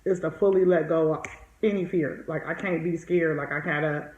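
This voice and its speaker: A woman's voice: low voice, steady tone, moderate pace, a little mellow. A woman in her forties talking casually and candidly, outspoken and emphatic, repeating phrases to drive them home. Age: 40s. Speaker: podcast guest, entrepreneur being coached. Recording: echoey room, slightly dull sound.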